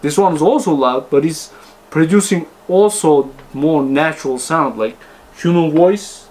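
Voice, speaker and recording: A man's voice: a little high, unhurried, darkish tone. A man giving a casual, unscripted product review, talking to viewers in choppy, informal phrases. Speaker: host reviewing car audio speakers.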